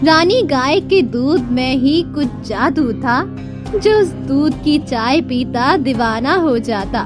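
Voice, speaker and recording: A woman reading scripted narration for a children's moral tale in a measured, deliberate storytelling manner. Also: high voice, lively intonation, music in the background.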